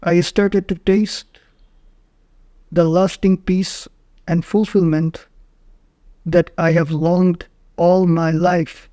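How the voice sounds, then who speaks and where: A man narrating a guided meditation in short, measured phrases with frequent pauses. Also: sits high, flat delivery, warm tone, dry recording.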